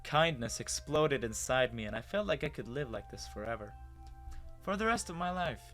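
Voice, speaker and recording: A man's voice: mid-range voice, animated, normal speed, a bit bright, soft background music, close to an everyday voice. A man as a bewildered, hesitant human narrator. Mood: uneasy, wondering. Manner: hesitant, dramatic, wondering. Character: bewildered human man with lost memory, narrating his story. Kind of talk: first-person dramatic story narration.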